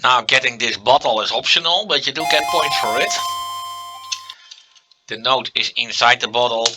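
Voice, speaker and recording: A man with a Dutch accent, a native Dutch speaker, giving relaxed gameplay commentary and thinking aloud with many fillers. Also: medium pitch, moderate pace, over music. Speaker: let's play commentator.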